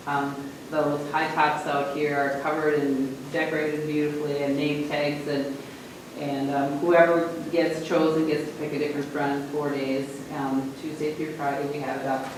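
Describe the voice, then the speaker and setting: Echoey far-off man reporting at a meeting. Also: fairly high pitch. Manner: halting, many fillers and restarts. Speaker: school principal giving a report. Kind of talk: spoken report at a board meeting. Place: large meeting room. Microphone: distant room mic. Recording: echoey room from far off, noisy.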